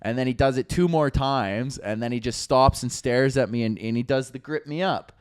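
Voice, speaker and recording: A Canadian man co-hosts a podcast and tells stories in a loose, rambling way, swearing freely. His voice is smooth and resonant at a middle pitch. It is recorded dry and close, with no room sound. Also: normal speed, a little mellow, no echo. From Canada, Alberta. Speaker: podcast co-host. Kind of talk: casual storytelling chat.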